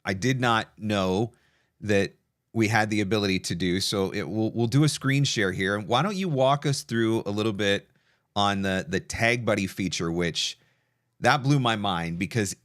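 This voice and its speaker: A man with a fairly low voice hosts a live webinar. He talks casually and with enthusiasm, like someone chatting with a guest and viewers. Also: normal speed, a bit bright. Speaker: host of an online demo session.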